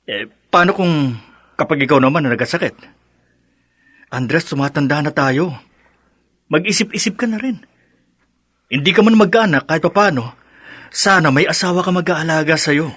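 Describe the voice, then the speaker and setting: An actor with a Filipino accent playing a well-meaning middle-aged village friend in a radio drama who gives insistent, chiding advice. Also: male voice, a little high, moderate pace, long pauses, a bit bright, no echo, studio quality. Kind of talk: Tagalog radio drama acting.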